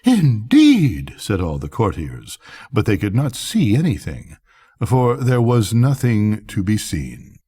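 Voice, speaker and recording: A man's voice: on the low side, big pitch swings, a little slow, mellow, slightly rough. An older man narrating a bedtime story as a grandfatherly storyteller.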